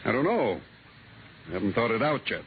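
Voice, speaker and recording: A man playing a skeptical, authoritative middle-aged boss with a measured, commanding manner in a 1950s radio drama. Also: lowish voice, very animated. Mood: grave, guarded. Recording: narrow-band vintage radio, faint hiss.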